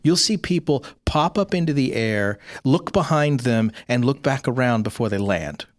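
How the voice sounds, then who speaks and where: A man's voice: medium pitch, animated, normal speed, no room sound, clean studio sound. A man co-hosting a podcast, chatting casually about games. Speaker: podcast co-host.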